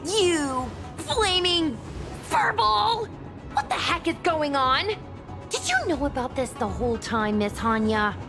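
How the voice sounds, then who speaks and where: A bold young heroine from a video game, earnest and hot-headed. Her voice sits very high and she stretches her words for drama, over a musical score. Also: animated, takes it slowly, over music, heavily acted. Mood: outraged. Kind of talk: animated video game voice acting.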